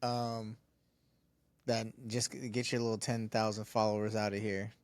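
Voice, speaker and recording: A man's voice: lowish voice, flat delivery, moderate pace, no echo. A man co-hosting a casual podcast, talking business bluntly and swearing. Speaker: podcast co-host, studio owner.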